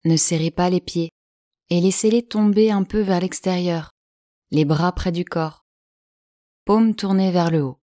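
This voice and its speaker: A woman with a slightly low voice leads a guided yoga nidra relaxation. She speaks slowly and soothingly, leaving long pauses between instructions. The recording is dry and close, with no room sound. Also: dry recording. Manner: slow, calm, soothing delivery with long pauses.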